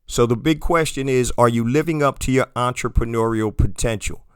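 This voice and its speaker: A man with a hoarse voice hosting a solo podcast, speaking straight to his listeners in a motivational, promotional way. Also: mid-range voice, normal speed, no echo, clean studio sound. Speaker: podcast host.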